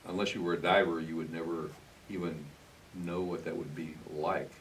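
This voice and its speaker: A man's voice: low voice, steady tone, fairly quick, fairly bright tone. An older man hosting a conversational television interview, informal and searching for his words. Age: older, 60s-70s. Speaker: interviewer, host. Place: indoor TV studio, green screen. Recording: slightly distant mic, faint hiss.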